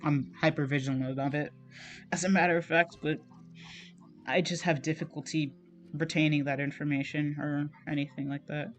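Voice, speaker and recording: A chatty streamer talks loosely while drawing, voice slightly strained and scratchy, self-conscious and quick to laugh and swear. Middling pitch. Faint music sits softly behind the talk. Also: flat delivery, dull audio. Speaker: digital artist streaming. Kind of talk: casual rambling commentary while drawing.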